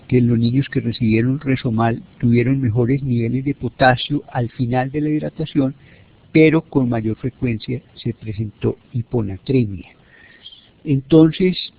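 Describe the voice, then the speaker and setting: A Colombian man delivers a halting, didactic lecture. His voice has a gravelly creak to it. The sound is thin and narrow, like a telephone connection. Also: mid-range voice. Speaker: medical lecturer. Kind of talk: teleconference lecture, explanatory. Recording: narrow, phone-like teleconference audio.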